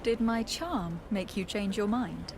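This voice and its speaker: A woman's voice: medium pitch, unhurried, background noise, natural voice. A woman playing a poised, politely teasing young noblewoman diplomat in a video game. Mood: teasing, curious.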